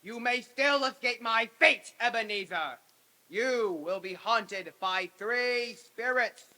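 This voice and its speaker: A man's voice: extremely high pitch, slow, pushed and bright, full character voice. A man playing the doomed, remorseful ghost of a businessman, mournful and declamatory in a radio-play reading. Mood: grave warning. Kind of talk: old-time radio stage reading.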